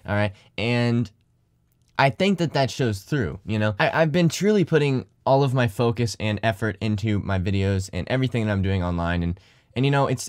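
A man's voice: fairly low pitch, darkish tone, dry recording, studio quality. A young man vlogging casually to his viewers in his room, rambling, swearing often, his voice tired from not talking all day. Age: young adult. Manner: rambling, swears a lot, thankful. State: tired, slightly deep morning voice. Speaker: YouTuber and streamer. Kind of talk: casual vlog talk to camera. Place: small bedroom corner.

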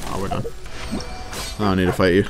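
A man's voice: low voice, flat delivery, fairly quick. A man livestreaming a video game, chatting casually with viewers in a slangy, sweary way. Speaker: game streamer. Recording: game music behind. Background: video game music and character voices.

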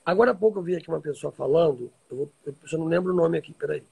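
A Brazilian man talks to his live-stream followers in a high voice for a man, heavily creaky and raspy. He is informal and emphatic, repeating key phrases as he teaches. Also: rapid-fire, phone-quality sound. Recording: livestream audio reposted from social media.

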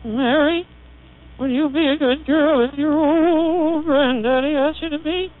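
A man's voice: very high-pitched, fairly even intonation, measured pace, pitched above the performer's own voice. A man speaking through a vocoder, playing a kindly old grandfather, in a tinny old recording with hum. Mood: gentle. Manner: gentle, indulgent. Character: kindly old grandfather, gentle and soft-hearted. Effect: vocoder synthesized voice.

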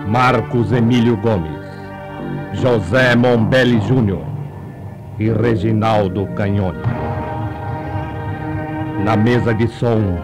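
An actor playing a mock-ominous, pompous announcer presenting a comic radio play. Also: male voice, fairly low pitch. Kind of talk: scripted radio show announcement.

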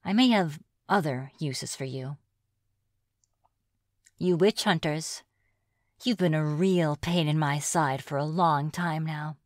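A woman's voice: sits low, no echo, studio quality. A woman playing a reclusive, flirtatious witch in an ASMR fantasy roleplay, with a playful, mocking manner. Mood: smug, menacing. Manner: playful, mocking, drawn-out taunting. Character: reclusive, teasing, flirtatious witch of the deep woods.

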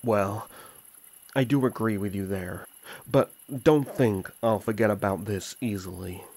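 Man playing haughty elf prince, low and slow. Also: a little mellow, dry recording. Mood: suspicious, haughty. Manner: haughty, demanding. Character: proud, suspicious, defensive male elf prince. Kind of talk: fantasy audio drama roleplay.

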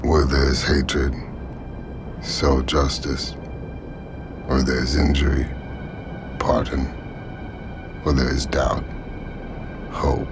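An actor playing a menacing, calm convict antihero in a video game, terse and deadpan, with a gravelly voice. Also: male voice, slow pace, pauses often, over music, exaggerated voice. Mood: grim, solemn. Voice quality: very deep gravelly voice. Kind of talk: cinematic video game acting.

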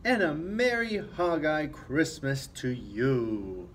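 A man voicing a gruff, rowdy old storybook pirate with loud, hearty talk. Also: a little high, sing-song, slow, slight background noise. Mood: rowdy singing.

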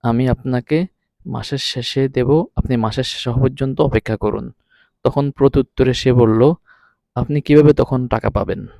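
A male Arabic teacher with a mid-pitched voice. He explains word by word, repeating each phrase before translating it, in a dry recording with little room sound. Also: normal speed, creaky, dark tone, dry recording.

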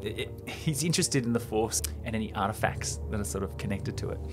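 Animated man recapping a film, music behind. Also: lowish voice, quick pace. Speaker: podcast co-host. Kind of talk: casual chat and film script recap. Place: small home studio room indoors. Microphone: close podcast microphone on stand.

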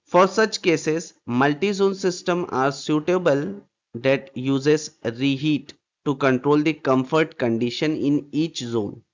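A man's voice: fairly high pitch, slow, highs cut off. A man lecturing on air distribution systems over slides, repeating points and checking in with "okay" and rhetorical questions. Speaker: HVAC instructor. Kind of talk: slide-based technical lecture.

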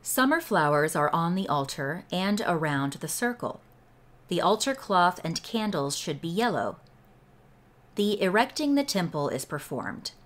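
A woman reading aloud from a scripted ritual text in a measured, deliberate way. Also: lowish voice, very animated. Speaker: read-along host and reader. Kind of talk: slow scripted read-aloud of a ritual book.

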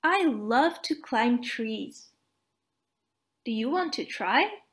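A woman voicing a kind, upbeat young heroine in a children's storybook, in a gentle, encouraging manner. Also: on the high side, animated, takes it slowly. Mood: friendly.

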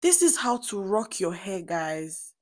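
A woman's voice: mid-range voice, sing-song, slow pace, a little mellow, no room sound, clean studio sound. A woman with a Nigerian Igbo accent giving a chatty beauty tutorial as a vlogger, playful and emphatic as she addresses viewers directly. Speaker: hair stylist and beauty vlogger.

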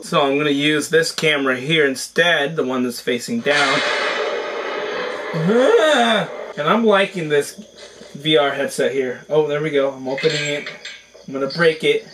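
A hoarse young man casually reviewing a VR headset at home, exclaiming through gameplay. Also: a little high, measured pace, background noise. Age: young adult. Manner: excited exclamations, chatty. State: hoarse from being sick. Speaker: tech reviewer and VR gamer. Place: small home room with shelves, indoors.

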